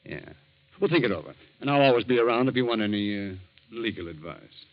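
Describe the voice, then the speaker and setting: An actor playing a scheming ex-lawyer who talks in an insinuating, menacing way, in old radio acting. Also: male voice, on the low side, expressive, fairly quick. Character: scheming sly male ex-lawyer. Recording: dull old radio recording.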